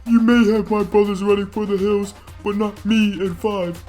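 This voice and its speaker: A man's voice: high voice, level tone, normal speed, darkish tone, soft background music, voice pushed up, close to an everyday voice. A man voicing blustering but cowardly British chef brothers in a theatrical British accent, reading a story game aloud. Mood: defiant. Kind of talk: character voices while reading a game's story aloud.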